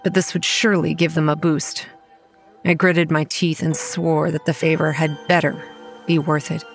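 A woman's voice: low voice, flat delivery, normal speed, soft background music. Wry young woman narrating horror. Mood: anxious, wry. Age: young adult. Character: Kate, wry, anxious young woman running a campground. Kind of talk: scripted first-person horror narration.

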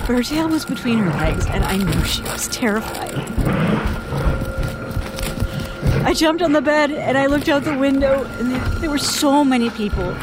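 Frightened young woman survivor played by an actress, high voice, halting horror-drama monologue over prominent music. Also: lightly acted. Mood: horrified, haunted. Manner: halting, haunted, with stammered repetitions. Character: terrified young female survivor recounting a horror.

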